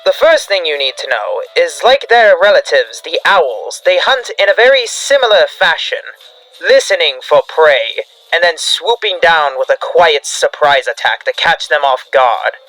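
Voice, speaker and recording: A man's voice: a little high, sing-song, a little slow, sharp tone, dry recording, rough recording. A man delivering scripted analog horror narration like a field briefing, in a grave, dramatic instructional manner. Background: background music.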